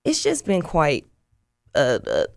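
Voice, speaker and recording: A woman chatting as an interview guest in an animated, colloquial way, acting out what people say. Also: sits low, slow pace, vocal fry, no echo, studio quality. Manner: animated, colloquial, acts out quotes. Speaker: guest, actress. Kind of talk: casual interview chat.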